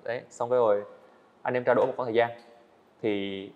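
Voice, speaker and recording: An earnest eighteen-year-old man tells his story to a podcast host. He talks quickly at a mid pitch, and the sound is a little dull. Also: fairly even intonation. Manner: earnest, storytelling, answers politely with "dạ vâng". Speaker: guest, animator. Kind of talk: podcast interview. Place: indoor studio set.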